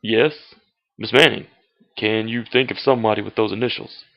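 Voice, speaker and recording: Man acting a low, gravelly police inspector. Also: steady tone. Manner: formal, deliberate, authoritative questioning. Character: formal, probing male police inspector. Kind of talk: old-fashioned radio detective drama acting. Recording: dull, lo-fi amateur radio-drama recording.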